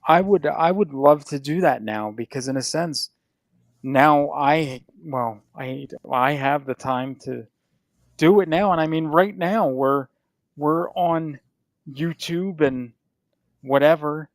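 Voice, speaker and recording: A man's voice: fairly high pitch, slow pace, dry recording. A man hosting a podcast, chatting casually in a rambling, profane way with frequent restarts. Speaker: podcast host. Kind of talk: casual chat.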